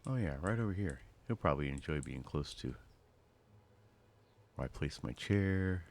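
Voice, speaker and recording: Man, gaming host, very low voice, animated pitch, casual running commentary, slight noise behind. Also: moderate pace. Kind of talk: casual game walkthrough commentary.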